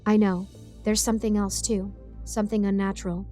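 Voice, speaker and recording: A woman's voice: mid-range voice, level tone, brisk, warm tone, soft background music, lightly acted. A woman voicing a small male toy guardian, grave and earnest, in an audio story.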